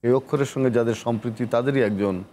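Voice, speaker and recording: A man from Bangladesh hosting a literary interview show, speaking in a measured, poetic, declamatory way. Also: medium pitch, warm tone, a little hiss or hum. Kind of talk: poetic scripted introduction and interview.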